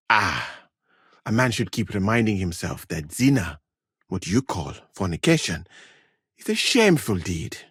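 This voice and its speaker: Learned, husky-voiced scholar-physician. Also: male voice, on the low side, a bit bright, no room sound, studio quality, exaggerated voice. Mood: wistful, disapproving. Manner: measured, formal, eloquent phrasing. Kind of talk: video game voice acting.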